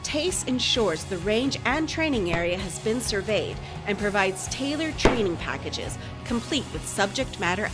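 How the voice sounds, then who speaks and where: Female narrator for an institutional video; formal, animated intonation, music underneath. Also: medium pitch. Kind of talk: scripted corporate military video narration. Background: music bed.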